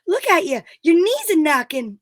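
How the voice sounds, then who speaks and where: Woman as bossy straight man, very high voice. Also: big pitch swings, normal speed, dry recording, clean studio sound. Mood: goading. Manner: goading, theatrical. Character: bossy straight man goading his cowardly partner. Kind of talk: amateur old-time radio comedy acting.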